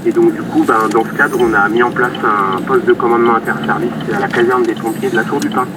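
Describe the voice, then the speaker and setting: A man's voice: mid-range voice, fairly even intonation, quick pace. A man making an informal, slightly hesitant official phone call. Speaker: river basin authority official. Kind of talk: phone call in crisis drill. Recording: distant mic, noisy surroundings.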